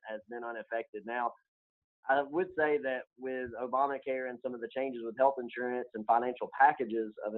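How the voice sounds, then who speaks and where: A man giving explanatory interview answers as a financial expert. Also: medium pitch. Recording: narrow, phone-like sound.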